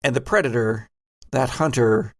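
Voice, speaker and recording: A man working as game master, narrating a tabletop horror game in a theatrical, drawn-out storytelling manner with a gravelly voice. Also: medium pitch.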